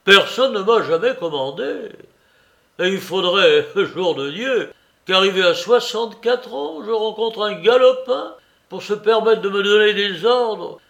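A man's voice: sits high, expressive, voice pushed up. A man voicing a stubborn, dim-witted old clerk in his sixties, indignant and whiny in a storybook reading. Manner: indignant, whiny, drawn-out exclamations. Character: cranky stubborn old male office clerk.

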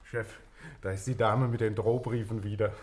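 An actor playing a casual, wry police subordinate in a German radio drama. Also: male voice, fairly low pitch, fairly even intonation, moderate pace, background noise, close to an everyday voice.

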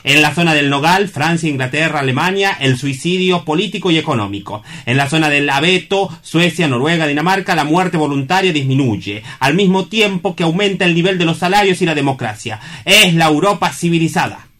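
Man acting courteous, high-voiced, didactic gentleman. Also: animated, fairly quick, few pauses. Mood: matter-of-fact, didactic. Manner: formal, polite, didactic. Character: courteous, wry man calmly reasoning with a would-be suicide. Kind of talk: theatrical dialogue from a comic stage play.

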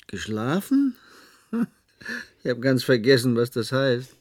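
A man's voice: medium pitch, sing-song, takes it slowly, darkish tone, dry recording, clean studio sound. An actor playing an overworked, flippant male programmer in a German radio play, with a wry, casual manner. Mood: weary, amused.

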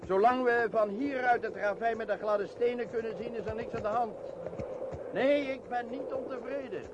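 An actor playing a devout, cautious mountain guide in a radio play, speaking in an earnest, formal way. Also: male voice, very high-pitched, fairly even intonation, moderate pace. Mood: confident, instructive. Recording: muffled noisy old radio recording.

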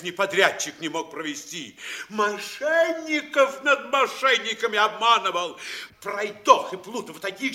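Old blustering mayor, stage comedy, piercing forceful voice, big swings in pitch, declaims it. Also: male voice, exaggerated voice. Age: older. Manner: emphatic, declamatory. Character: blustering, outraged old town mayor, a seasoned swindler. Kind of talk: theatrical stage comedy acting.

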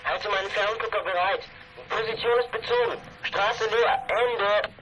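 A man's voice: sits high, steady tone, unhurried, bright, forceful tone, slight background noise, poor audio. A male actor playing an eager boy lookout who sends clipped walkie-talkie reports in a children's radio play. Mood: alert, businesslike.

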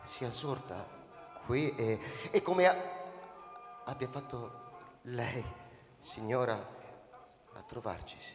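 A man playing a wary, easily flustered old spaceship captain in a radio drama, commanding yet halting. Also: medium pitch, lively intonation. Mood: flustered, insistent. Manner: commanding, turning halting and stammering. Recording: reverberant hall with music behind.

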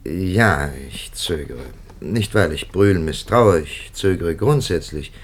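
An actor playing a thoughtful, hesitant male prelate in a 1950s radio drama, speaking with halting pauses. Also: sits low, lively intonation, exaggerated voice. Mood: reflective.

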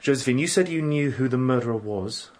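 A man's voice: medium pitch, brisk, a little mellow, close to an everyday voice. A man playing an earnest, protective young man in a radio drama, reassuring and coaxing but uneasy.

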